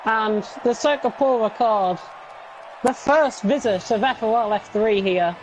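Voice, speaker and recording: A woman's voice: on the high side, a little slow, a little hiss or hum, rough recording. A woman guest commentator on a sim racing livestream, talking in a dramatic, exclaiming way with a voice she has nearly lost.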